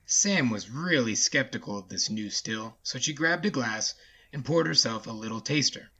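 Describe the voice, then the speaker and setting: A man's voice: medium pitch, animated, normal speed, pushed and bright, muffled recording, slight background noise. A man narrating a scripted folksy story as the narrator of an audio drama.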